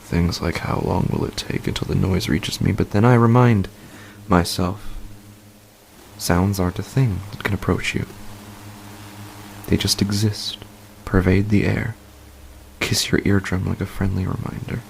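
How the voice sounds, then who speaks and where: A man playing a frightened, sleepless husband in a horror story, narrating haltingly in a hushed, breathy, gravelly voice that drops toward whispers. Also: low voice, steady tone, a little hiss or hum, rough recording. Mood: anxious. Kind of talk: scripted horror fiction narration, first person.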